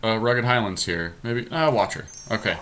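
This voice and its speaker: A man's voice: lowish voice, expressive, moderate pace, a crowd in the background. A man giving casual live commentary as he plays a card game draft, thinking aloud in a conversational, analytical way. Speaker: podcast host and player.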